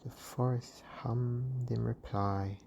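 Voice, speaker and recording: A storyteller giving a slow, soothing bedtime narration in a gentle, hushed and breathy delivery. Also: mid-range voice, fairly even intonation, a little hiss or hum.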